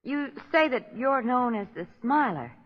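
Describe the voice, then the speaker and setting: A woman's voice: fairly high pitch, very animated, measured pace. An actress playing a curious, wry lady companion to a sleuth in a 1940s radio mystery. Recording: muffled vintage 1940s radio recording.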